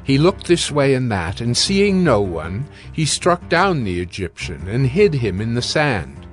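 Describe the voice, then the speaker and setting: A man's voice: mid-range voice, sing-song, unhurried, faint music behind. A man narrating scripture from a script in a dramatized reading.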